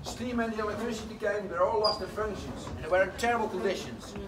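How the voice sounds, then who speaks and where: A man, telling a tour group a lively story inside a large wooden sawmill. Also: sits high, quick pace. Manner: lively storytelling, slightly broken English phrasing. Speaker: windmill guide. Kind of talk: guided tour talk. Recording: distant voice with noisy background.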